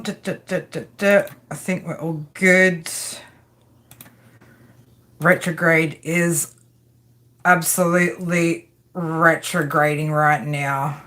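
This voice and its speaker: A woman's voice: on the low side, pushed and bright. A woman with an Australian accent, chatting casually and playfully on a live stream while she does a tarot reading and answers viewers' comments. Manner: chatty, playful, slangy, reads chat comments aloud. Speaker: tarot reader.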